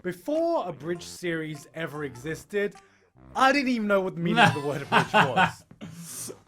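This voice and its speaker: A man's voice: sits high, sing-song, moderate pace, bright, forceful tone, faint music behind. A man narrating a scripted comedic video essay in an emphatic, wry and sweary way.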